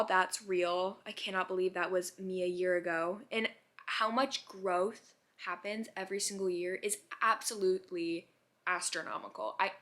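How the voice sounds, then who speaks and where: A young woman of about eighteen talks casually to her viewers in a bright, clear-edged voice at a middling pitch. She rambles reflectively, questioning her own thoughts as she goes and peppering them with "like". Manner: musing, self-questioning, heavy use of "like". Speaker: vlogger.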